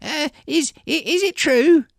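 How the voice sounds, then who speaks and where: A woman acts out a nervous middle-aged village man from a storybook. The voice is high and swings widely in pitch. He stammers and hesitates, deferring and apologising timidly, recorded close and dry. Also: big pitch swings, normal speed, no room sound. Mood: anxious, pleading. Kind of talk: acted story dialogue.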